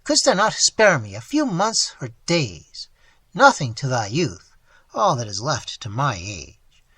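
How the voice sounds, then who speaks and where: A reader voicing a frail old male miser who cries out in high, shaking outbursts. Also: wildly swooping pitch, measured pace, pushed and bright, husky, dry recording, heavily acted. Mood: grieving reproach. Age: over 60.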